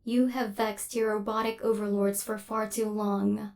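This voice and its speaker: A woman's voice: mid-range voice, little pitch movement, measured pace, a little mellow, natural voice. A woman voicing a female robot assassin in an ASMR roleplay, cold and relentless, with clipped, formal, mechanical delivery.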